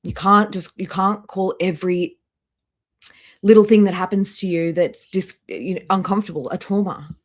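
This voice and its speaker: A woman's voice: mid-range voice, normal speed. A woman hosting a live stream with casual, blunt, critical reaction commentary and some swearing.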